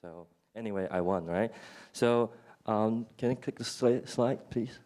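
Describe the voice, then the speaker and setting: A man's voice: fairly low pitch, measured pace, darkish tone, faint music behind. A man from Hong Kong, a founder and CEO presenting on a conference panel, joking and speaking in loose non-native English. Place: conference room.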